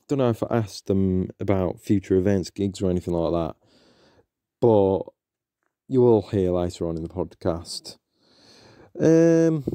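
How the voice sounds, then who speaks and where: A man's voice: medium pitch, warm tone, no room sound. A young man hosting a podcast, chatting casually and hesitantly with many ums and restarts. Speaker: podcast host. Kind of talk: casual solo intro chat.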